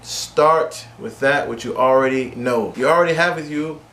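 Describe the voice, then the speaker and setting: A man's voice: mid-range voice, normal speed, fairly bright tone. Man coaching English learners, echoey room. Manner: direct, repetitive coaching with frequent "okay?" checks. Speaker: English teacher. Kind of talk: instructional video lesson. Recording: echoey room with faint ambient noise.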